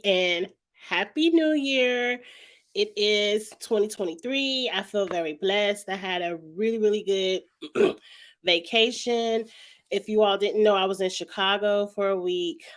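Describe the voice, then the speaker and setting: A woman hosting a casual review vlog, chatty and emphatic, her voice slightly raspy and stuffed up from a cold. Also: medium pitch. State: lingering cold with sinus congestion, clearing throat often. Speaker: YouTube show host reviewing reality TV. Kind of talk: casual vlog commentary to viewers.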